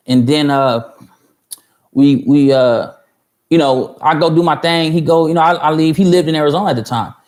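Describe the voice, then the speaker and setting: A man's voice: a little high, a little mellow. A man in his thirties telling a long story on a livestream for music producers, rambling and swearing, with many fillers and restarts. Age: 30s. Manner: answers viewer chat mid-story.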